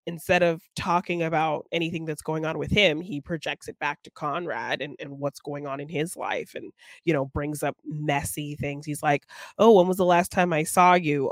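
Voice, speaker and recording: A woman's voice: deep voice, steady tone, moderate pace, dry recording. Thoughtful woman chatting about film, close dry sound. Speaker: podcast co-host. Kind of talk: casual film discussion.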